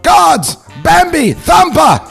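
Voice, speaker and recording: Guy doing a worked up nazi cartoon villain, high voice, demanding and over the top. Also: wildly swooping pitch, slow pace, gravelly, fairly bright tone, raised voice, soft background music, heavily acted. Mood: panicked commanding. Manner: melodramatic, demanding. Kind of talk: comedic script table read.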